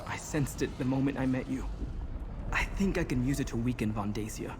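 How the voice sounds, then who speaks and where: A man's voice: mid-range voice, a little fast, natural voice. An actor playing a determined young man touched by demonic power in a fantasy video game, speaking earnestly and seriously. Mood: resolute. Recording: faint background ambience noise.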